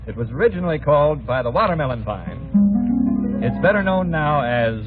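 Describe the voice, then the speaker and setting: A man's voice: a little high, expressive, moderate pace, music in the background. Man presenting on tinny old radio. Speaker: radio show performer introducing a song. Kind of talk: 1940s radio show presentation. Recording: thin tinny vintage radio recording.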